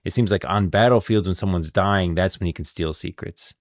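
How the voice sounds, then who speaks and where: Man, low voice, dry close sound, casual livestream commentary, informal, insistent, thinking aloud. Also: a little fast, dry recording. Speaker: streamer, game lore commentator.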